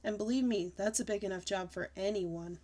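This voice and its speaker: A woman voicing a patient, weary mother who explains things slowly and gently to her child. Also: medium pitch, natural voice. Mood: gentle. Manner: slow, gentle, deliberate explaining.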